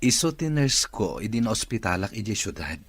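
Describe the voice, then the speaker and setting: A man's voice: lowish voice, big pitch swings, brisk, no room sound. A man acting a boss who has recovered from illness, with an Ilocano accent, in a radio drama. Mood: friendly. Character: male boss, a recovered former patient.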